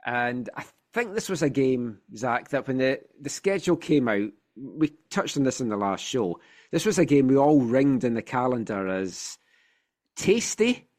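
Animated Scottish man, slightly congested. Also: mid-range voice, normal speed. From Scotland. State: slightly congested from a sinus infection. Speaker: football podcast host. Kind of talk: casual sports podcast chat.

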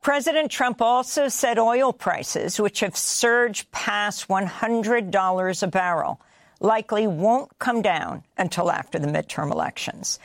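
A woman news anchor with an American accent reading scripted headlines in a measured, deliberate, emphatic way. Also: medium pitch. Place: broadcast studio.

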